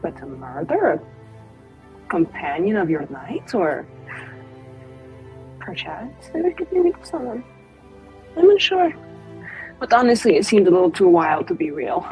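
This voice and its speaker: A woman acts out a coy noblewoman trading lurid rumors in a fantasy game. Her delivery is theatrical and arch, with lively, swinging pitch and drawn-out pauses, over faint music. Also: dull audio, exaggerated voice. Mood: conspiratorial.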